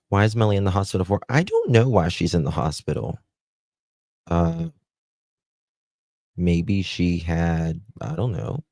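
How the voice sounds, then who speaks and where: A man with a Southern US accent hosting a live stream, chatting slowly with viewers and reading their comments aloud. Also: low voice, vocal fry, a little mellow, dry recording, studio quality. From United States. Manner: slow, chatty, drawling, talks to viewers by name. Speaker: livestream host.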